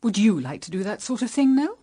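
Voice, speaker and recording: An actress playing a concerned, brisk, caring middle-aged mother in a period radio drama. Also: on the high side, sing-song, mellow, husky, dry recording, studio quality.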